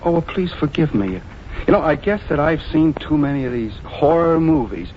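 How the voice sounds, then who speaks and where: A shifty but polite young man played by an actor in a 1940s radio play. His pitch moves around a lot as he hesitates and over-explains, on a muffled, faintly noisy vintage recording. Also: lively intonation, moderate pace, close to an everyday voice. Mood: ingratiating, nervous. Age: young adult. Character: nervous, shifty, polite young male stranger seeking lodging. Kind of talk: 1940s radio drama acting.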